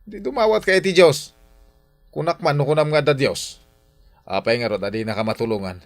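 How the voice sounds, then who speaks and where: An actor playing a defiant, hard-drinking farmer husband in a Philippine radio drama, dismissive and scoffing. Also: male voice, on the high side, normal speed, dry recording, clean studio sound, soft background music, lightly acted. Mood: mocking.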